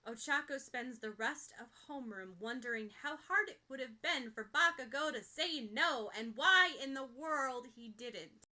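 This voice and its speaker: A woman reading fiction aloud as an expressive narrator of an audio story. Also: sits high, normal speed, hardly any pauses. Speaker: podfic narrator.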